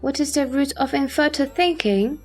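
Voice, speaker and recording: Earnest questioning bodhisattva , female performer, high-pitched, formal scripture drama delivery over faint music. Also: normal speed, natural voice. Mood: earnest inquiry. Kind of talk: audio drama sutra recitation.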